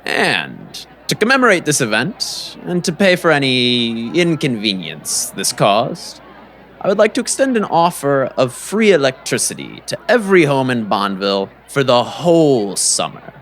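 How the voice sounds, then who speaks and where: A man's voice: medium pitch, big pitch swings, normal speed, a bit bright, slight background noise. A man playing a grandiose, oratorical town businessman in an audio drama. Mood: grand, upbeat. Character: grandiose, showy town businessman announcing good news.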